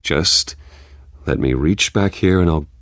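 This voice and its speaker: An actor playing a composed, guarded billionaire vigilante in a video game, speaking in a measured, serious way. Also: male voice, sits low, fairly bright tone, dry recording, studio quality. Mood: placating. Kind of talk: restrained video game acting.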